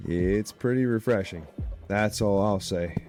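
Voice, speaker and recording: Man playing an anxious, gruff, low-voiced deputy. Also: unhurried, darkish tone, lightly acted. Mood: dry. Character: anxious claustrophobic male small-town deputy, gruff, haunted by childhood. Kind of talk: audio drama dialogue. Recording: music under the voice.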